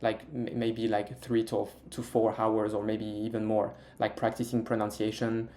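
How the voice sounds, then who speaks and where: A man's voice: fairly low pitch, monotone, normal speed, dark tone. A young man with a French accent, chatting as an interview guest about learning Mandarin, hesitant with many fillers and restarts. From France. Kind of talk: casual interview chat.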